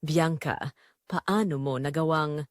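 A woman's voice: low voice, slow, dry recording, studio quality, voice pushed down. Woman voicing a hurt, accusing young man. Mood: shocked, hurt. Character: hurt, angry young man confronting his lover.

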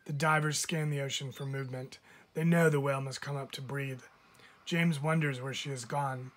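A man reading a children's picture book aloud like a teacher, with expressive emphasis. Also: mid-range voice, normal speed. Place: indoors, book filmed from above.

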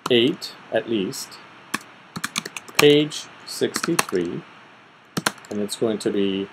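A middle-aged man giving an informal, step-by-step screen tutorial, thinking aloud methodically with pauses. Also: lowish voice, background noise. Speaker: tutorial presenter. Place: small room at home, indoors.

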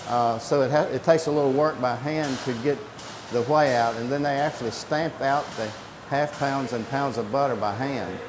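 Middle-aged male interviewee, plain explainer delivery, medium pitch, roomy industrial acoustics. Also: normal speed. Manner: plain-spoken, step-by-step. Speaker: creamery worker explaining production. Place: indoor creamery processing room with steel tanks. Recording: echoey room, loud equipment noise.

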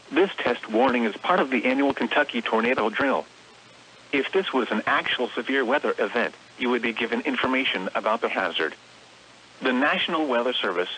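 Male weather radio announcer, crisp bright tone, formal synthetic-sounding read of scripted alerts, some static. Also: medium pitch, low-quality recording. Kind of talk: scripted emergency test announcement.

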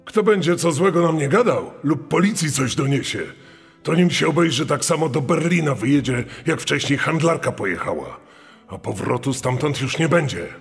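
A young rural bandit played by a male actor in an audio drama, intimidating and menacing. The voice is creaky, with long reverb and music underneath. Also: fairly high pitch, animated, normal speed. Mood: cold menace. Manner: threatening, intimidating. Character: menacing young village bandit threatening his neighbours. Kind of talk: true-crime audio dramatization.

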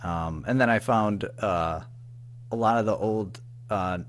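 A man chatting casually as an interview guest, informal and halting, padding his sentences with filler phrases. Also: on the low side. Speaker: podcast guest, audio drama writer-producer.

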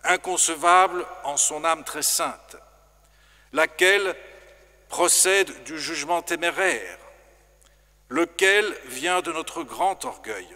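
A middle-aged priest gives a formal sermon, speaking very slowly and with great care. His voice is bright and clear, sitting a little high for a man. It rings out through a long church reverb. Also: animated. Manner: slow, measured, formal preaching. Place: large stone church. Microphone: stand microphone in front.

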